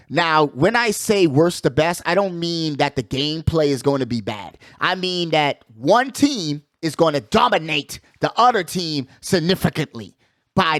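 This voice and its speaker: A man hosting a casual sports talk show, giving animated, slangy commentary and repeating phrases for emphasis. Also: a little high, dry recording, clean studio sound. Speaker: sports podcast host.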